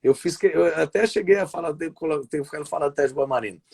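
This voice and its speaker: Chatty Brazilian man with a higher voice. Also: quick pace, gravelly, darkish tone, breathy edge. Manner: rambling, restarts often, tags sentences with "né" and "sabe". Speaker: wrestling commentator and play-by-play announcer. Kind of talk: casual interview chat over a live stream. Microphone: video call.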